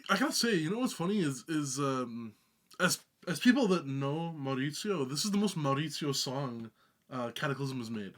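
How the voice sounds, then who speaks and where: A man co-hosts a casual music reaction chat. He hesitates often, piling up fillers and restarting his thoughts, and his voice sits a little high. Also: sing-song, moderate pace, fairly bright tone. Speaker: music reaction show co-host.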